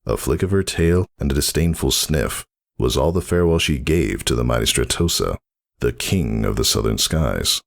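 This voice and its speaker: Male story narrator, bottomless bass with a creaky edge, dramatic scripted reading.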